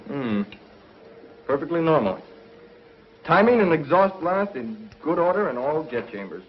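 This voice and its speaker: An actor playing a thoughtful, grave scientist aboard a rocket in a 1950s television serial. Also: male voice, fairly high pitch, sing-song, unhurried. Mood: satisfied. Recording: dull 1950s TV soundtrack, faint noise.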